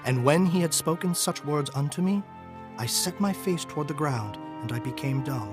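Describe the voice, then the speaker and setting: A man playing an awed prophet who recounts a holy vision in a solemn, measured dramatized scripture narration. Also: a little high, expressive, natural voice. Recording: music behind the voice.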